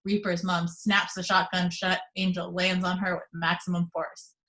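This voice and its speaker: Woman reading the action lines on a video call, lowish voice, steady and even. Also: moderate pace. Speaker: reader of stage directions. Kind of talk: reading action description aloud.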